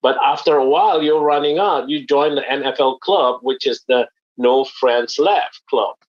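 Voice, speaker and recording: A man from Indonesia explains his business methods in an earnest, plain way, pausing on fillers as he goes. His voice sits at a middling pitch, and the webinar audio is a little dull and muffled. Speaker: network marketing presenter.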